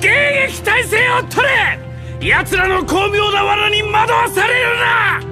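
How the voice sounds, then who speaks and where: Anime game hostile officer: frantic shouted orders, voice pushed very high. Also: male voice, takes it slowly. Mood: frantic hostility. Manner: shrieking, harsh commands. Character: panicked, hostile male army officer barking orders. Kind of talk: dramatic anime game acting. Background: music.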